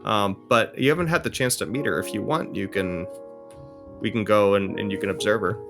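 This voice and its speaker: A man acts as a foster father with a lowish voice, reasonable and a bit hesitant. He explains things tentatively, in the manner of an improvised drama. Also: a little fast, over music, natural voice. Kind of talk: improvised role-play conversation.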